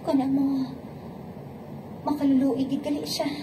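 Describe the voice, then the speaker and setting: A woman's voice: high voice, little pitch movement, measured pace, background noise. A woman playing an earnest, principled young bride-to-be in a radio romance drama, with a Hiligaynon Filipino accent. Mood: curious, gentle.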